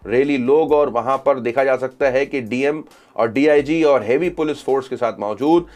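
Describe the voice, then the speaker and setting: A man's voice: medium pitch, normal speed, a little mellow. A man hosting social media news commentary, reporting events and reading out reactions.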